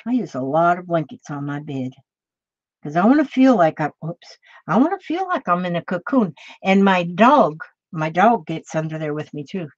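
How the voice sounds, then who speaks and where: A woman's voice: fairly low pitch, big pitch swings, moderate pace, dry recording. A woman in her late sixties, from Minnesota, chatting casually with viewers while she crafts, rambling along with fillers and restarts. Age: 60s. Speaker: crafting video host. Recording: dull, slightly lo-fi sound.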